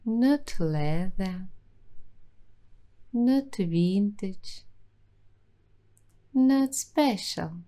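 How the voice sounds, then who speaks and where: A woman hosting an ASMR roleplay, talking softly and slipping into whispers now and then. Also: mid-range voice, expressive, very slow, long pauses. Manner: soft-spoken, slipping into whispers. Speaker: ASMR host.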